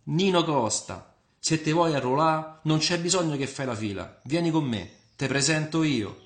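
Man acting a cocky young Roman lad, high-pitched. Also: normal speed, reverberant, lightly acted. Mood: eager, friendly. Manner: bantering, ironic, colloquial.

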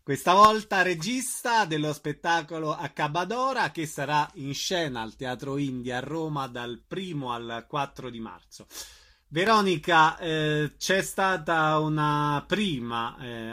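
A man's voice: on the high side, sharp tone. A man hosting a radio interview, putting questions to guests and giving presentations.

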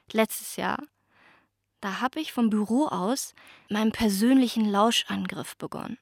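A woman's voice: medium pitch, dry recording, studio quality, lightly acted. An actress playing a wry young woman in a radio drama, confiding chattily and mimicking her own thoughts. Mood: confessional. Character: wry young woman longing for the city she left.

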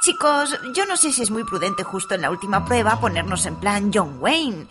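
An actress in a comic audio drama playing a cheerful, slightly naive contestant with a lighthearted manner and a Spain accent. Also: female voice, mid-range voice, big pitch swings, normal speed, natural voice. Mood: carefree. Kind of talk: comic audio fiction acting. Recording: music behind.

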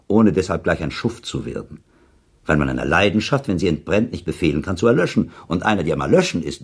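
A very deep, gravelly urbane cynic. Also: male voice, a little mellow, heavily acted. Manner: ironic, formal, argumentative. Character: cynical, urbane male listener, provocative, argumentative sceptic. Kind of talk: German radio play dialogue, literary debate.

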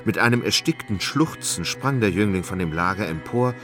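A man's voice: fairly low pitch, animated, moderate pace, close to an everyday voice. A man narrating a literary radio drama as a storyteller, in a dramatic, suspenseful way. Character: dramatic storyteller of a Gothic tale. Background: music.

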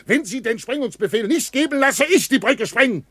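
Husky, urgent army captain. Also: male voice, very high voice, animated, brisk, pushed and bright, dry recording, exaggerated voice. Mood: insistent. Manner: clipped military reporting and commands. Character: urgent German army captain, dutiful, insistent under fire. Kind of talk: 1960s German radio drama acting.

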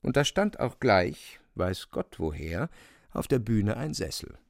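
A man narrating a literary radio drama with measured, dramatic storytelling. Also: lowish voice, big pitch swings, creaky, no room sound, clean studio sound.

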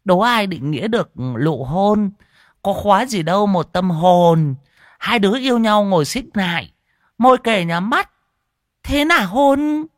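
A man's voice: sits high, lively intonation, measured pace, no room sound, clean studio sound, voice pushed up. A man voicing a coy woman who recites a cheeky love poem in a rising, theatrical lilt. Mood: coy teasing.

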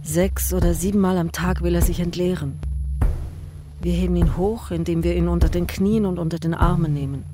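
An actress playing a grave woman in a radio drama, narrating her care of a dying man in a measured, stark, literary way. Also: fairly low pitch, steady tone, lightly acted. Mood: grave, intense. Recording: music behind the voice.

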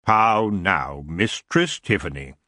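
Brief: deep, slow, silky old elf king with antlers, lordly and lazy, voiced by a male narrator, dry close sound. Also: expressive, bright, forceful tone, dry recording, exaggerated voice. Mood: languid. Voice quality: smooth, unhurried. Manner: lazy, drawn-out, coolly polite. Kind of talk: audiobook character voice.

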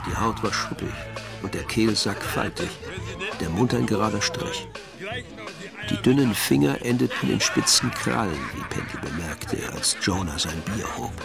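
A man's voice: lowish voice, lively intonation, normal speed, vocal fry. A man narrating a literary radio play from a script. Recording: music behind the voice.

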